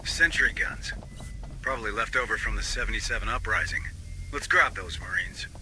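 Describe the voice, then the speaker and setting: An actor playing a commanding, terse marine squad leader in a scripted military video game. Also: male voice, lowish voice, expressive, moderate pace, pushed and bright, a little hiss or hum, rough recording. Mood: brisk, focused. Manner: authoritative, terse.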